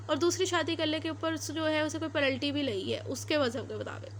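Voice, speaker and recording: A woman with a Pakistani accent and a very high voice gives an explanatory lecture. She talks informally, goes back over her points and mixes in English terms. There is faint noise behind her. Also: fairly bright tone. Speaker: law lecturer. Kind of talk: explanatory lecture with everyday examples.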